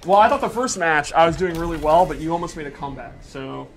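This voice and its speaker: A man's voice: a little high, animated, fast talker, a little hiss or hum. A man giving casual gameplay commentary with a joking, self-deprecating manner. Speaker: game stream commentator and player.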